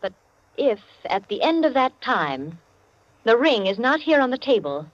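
An actress playing an imperious, determined society hostess in a 1940s radio drama, commanding and measured in manner. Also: female voice, on the high side, very animated. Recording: muffled vintage 1940s radio recording.

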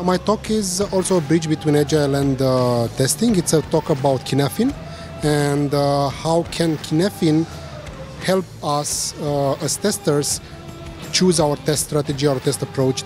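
A man's voice: on the high side, normal speed, music in the background. Man giving a conference talk. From Romania. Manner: many "uh" fillers and restarts, explaining to a crowd. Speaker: conference speaker. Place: large lecture hall stage.

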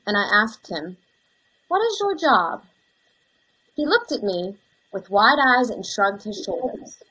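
A young woman playing an earnest, caring elementary school teacher in a scripted dramatization, slightly breathy. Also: mid-range voice, a bit bright, heavily acted, poor audio. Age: young adult. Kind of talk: scripted dramatization on video call. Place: indoors, plain backdrop, video call.

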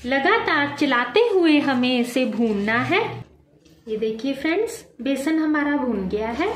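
A woman hosting a home cooking video, calmly talking viewers through each step and calling them friends. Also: high voice, measured pace, echoey room, faint music behind. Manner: addresses viewers as friends, calm explaining. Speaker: cooking channel host. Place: home kitchen at the stove.

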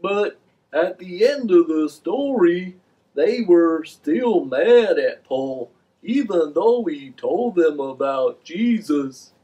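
A man's voice: high-pitched, expressive, slow pace, mellow, highs cut off. A man voicing a curious, simple talking fish puppet with a drawling manner for a children's puppet show. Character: slow, mellow, curious talking fish puppet named Fred.

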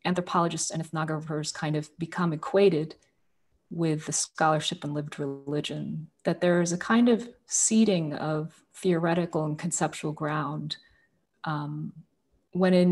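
A young adult woman making a point on a video-call academic panel, hesitant and wordy, with small laughs. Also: low voice. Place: room at home. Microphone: laptop video call.

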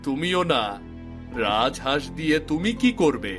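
Male actor as cartoon fisherman husband, fairly high voice, lively, practical, dismissive, exasperated. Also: a little slow, music in the background. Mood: grumbling. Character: grumbling, practical fisherman husband, adult village man. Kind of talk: cartoon voice acting.

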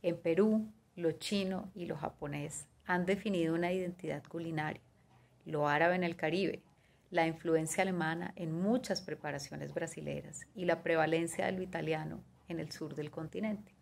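Low, gravelly-voiced woman giving a talk. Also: fairly even intonation, a little mellow. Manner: measured, listing items, with fillers like "eh" and "digamos". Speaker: guest speaker on pastry and cuisine. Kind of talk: prepared talk, partly read. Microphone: video call webcam.

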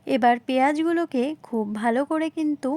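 A woman's voice: high-pitched, a little fast, darkish tone. A woman narrating a home cooking recipe, explaining politely and repetitively and addressing viewers directly. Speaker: home cooking video host. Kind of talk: recipe narration, instructional voice-over.

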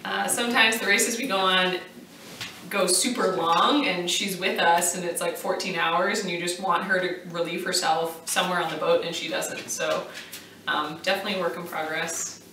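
Woman in her thirties, casual vlog host, laughs easily; bright tone, a little faint noise. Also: fairly low pitch, steady tone, normal speed. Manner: chatty, laughs easily, playful. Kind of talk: casual chat. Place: living room at home, indoors. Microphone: far camera. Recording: echoey room, mic at a distance.

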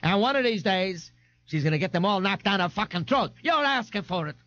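Man voicing a brash, high-pitched tough girl. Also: expressive, a little fast, sharp tone, no room sound, highs cut off. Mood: threatening. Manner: blunt, jeering. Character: brash, tough, rowdy young woman.

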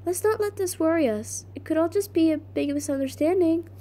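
Earnest young cartoon heroine, played by an amateur actress, raised pitch, voice cracking with flu, appealing for calm. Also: female voice, fairly quick, a little hiss or hum. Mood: reassuring.

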